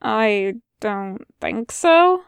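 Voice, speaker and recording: An awkward, guarded male demon, as a woman reader plays him, speaking low and quietly with halting, hesitant phrases. The recording is close and dry. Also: big pitch swings, vocal fry, smooth, no room sound, exaggerated voice.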